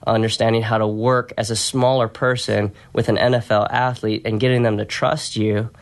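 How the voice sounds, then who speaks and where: A soft-spoken man talking in an easygoing, reflective way as a podcast guest, telling stories about his work in a conversational interview. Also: fairly low pitch, little pitch movement, moderate pace. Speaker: podcast guest, strength and conditioning coach.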